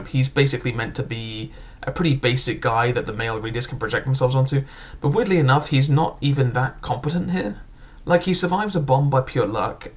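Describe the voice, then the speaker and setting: A young man casually reviewing a book, chatty and loose, with frequent filler words and restarts. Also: mid-range voice.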